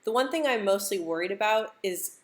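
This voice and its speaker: A woman's voice: medium pitch, expressive, fairly quick. A young American woman who stutters, repeating words and sounds, chatting casually on a live stream and laughing easily. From United States.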